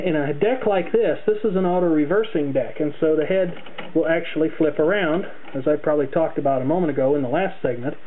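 A man's voice: a little high, narrow, tinny audio. A man narrating an unscripted hands-on tutorial, explaining things carefully step by step into a camera's microphone. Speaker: hobbyist demonstrator. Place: indoors, at a tape deck.

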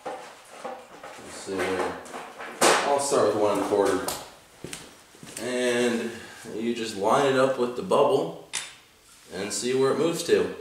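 Laid-back man in an echoey garage. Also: medium pitch. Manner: laid-back, rambling, with fillers and self-corrections. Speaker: garage tool reviewer. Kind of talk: casual how-to demonstration. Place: home garage with concrete floor. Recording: echoey garage, faint background noise.

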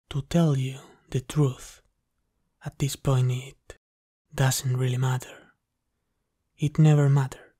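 An obsessive, possessive male vampire, acted by a man for an ASMR roleplay. He speaks very slowly and softly in a dark, mellow tone, often slipping into whispers, with an even, intimate delivery right up close. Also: medium pitch, no echo. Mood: smug, menacing. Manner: hushed, slow, intimate, lapsing into whispers. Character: obsessive, dominant male yandere vampire, starved for blood. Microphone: close, dry microphone.

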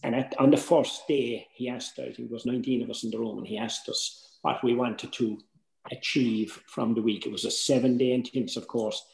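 An older Irish man talks informally to a workshop from a roomy indoor space on a video call. His voice is gravelly with plenty of fry, and he is earnest and emphatic, repeating himself to press a point. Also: medium pitch, fairly even intonation, moderate pace, darkish tone.

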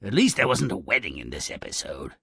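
Gravelly, sneering little gnome narrator. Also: male voice, lowish voice, big pitch swings, a little fast, bright, forceful tone, no room sound, clean studio sound, exaggerated voice. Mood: exasperated, scornful. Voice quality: raspy, gravelly. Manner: sardonic, pompous, alliterative, relishing each word. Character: gruff, mischievous, sneering gnomish storybook narrator. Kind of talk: theatrical video game narration.

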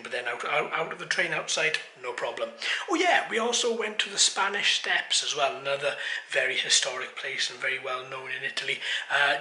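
A man's voice: mid-range voice, bright, forceful tone. A young man talking casually to the camera in a bedroom, filming himself at arm's length as a vlogger. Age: young adult. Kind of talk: casual vlog talk to camera. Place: small indoor bedroom. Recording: echoey room, camera microphone.

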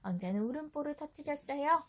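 A woman's voice: high-pitched, lively intonation, brisk, a bit bright, a little hiss or hum. A woman reading a children's story expressively as a mother would, speaking directly and instructively to a small child.

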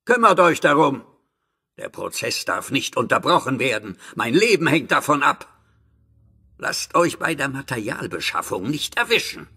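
A male actor playing a sinister, obsessive, ageless alchemist in a horror radio play, pompous and theatrical, with a husky voice. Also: mid-range voice, sing-song, pushed and bright, full character voice. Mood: angry, commanding. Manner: slow, pompous, theatrical declaiming. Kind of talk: horror audio drama acting.

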